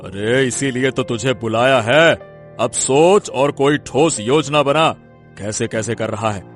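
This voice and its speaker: A man's voice: medium pitch, a little slow, faint music behind, natural voice. A man playing a stern, domineering, vengeful village big man in a cartoon story, scolding and threatening. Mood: scolding, scheming. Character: menacing, vengeful village big man scheming against a young woman. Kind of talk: Hindi cartoon story acting.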